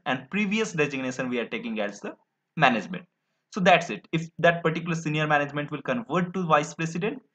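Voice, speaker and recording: A man's voice: fairly high pitch, bright, forceful tone. Man explaining slides. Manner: explanatory, many fillers and restarts. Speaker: instructor. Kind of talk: screen-recorded slide lecture. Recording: dull lo-fi audio.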